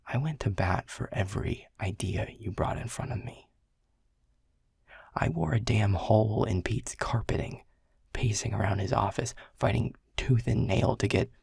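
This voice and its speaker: A man's voice: lowish voice, creaky, a little mellow, husky, no room sound, clean studio sound, exaggerated voice. A man playing a world-weary male middle manager who is blunt and swears, confessing in a half-whisper. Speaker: boss. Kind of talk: audio roleplay acting.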